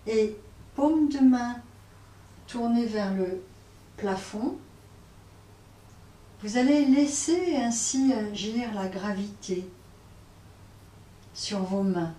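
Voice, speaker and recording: A woman teaching yoga nidra, guiding relaxation in a hesitant way, often pausing and repeating phrases. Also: fairly high pitch, dark tone. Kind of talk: guided relaxation instruction. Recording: roomy, distant mic, faint noise.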